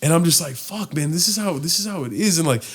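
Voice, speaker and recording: A young man chats on a home podcast, telling stories with energy. His voice sits a bit high. He speaks close into a studio boom mic. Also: quick pace, husky. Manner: animated storytelling, laughs often. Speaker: podcast co-host. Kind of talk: casual chat. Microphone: studio mic on boom arm with headphones.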